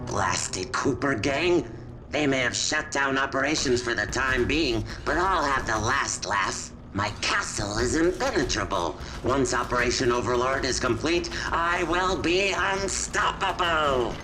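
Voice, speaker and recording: An actor playing a scheming, vengeful armored knight in a cartoon game, theatrical, menacing and gloating. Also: male voice, medium pitch, expressive, measured pace, music in the background, lightly acted, low-quality recording. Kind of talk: cartoon video game villain acting.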